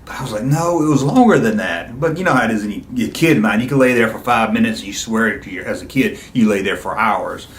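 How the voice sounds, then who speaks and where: A man's voice: fairly low pitch, lively intonation, a little fast, few pauses, echoey room, a little hiss or hum. A middle-aged man talking casually and nostalgically to his viewers, with an enthusiastic, rambling, conversational manner. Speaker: YouTube video host.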